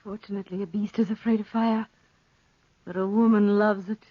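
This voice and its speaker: A woman's voice: medium pitch, steady tone, fairly quick, slightly rough, no echo, close to an everyday voice. A woman playing an alluring, cool young woman in a 1940s radio drama, theatrical and teasing, with scornful lines. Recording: muffled, dry 1940s radio recording.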